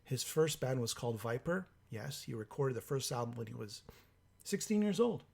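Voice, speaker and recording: A hoarse man with a cold hosts a live stream, reading out viewer comments in a halting way with many fillers. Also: medium pitch, big pitch swings, darkish tone. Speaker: livestream host.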